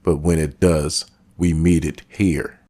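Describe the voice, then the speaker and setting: A man playing a resolute, measured superhero team leader with a grave, commanding manner in an audio drama. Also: rumbling low, level tone, warm tone, dry recording, studio quality, heavily acted.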